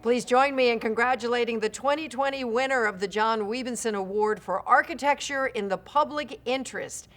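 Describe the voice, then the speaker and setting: A woman's voice: brisk, hardly any pauses, a bit bright, soft background music. A woman giving a scripted, formal presentation as host of an awards ceremony. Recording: faint low rumble.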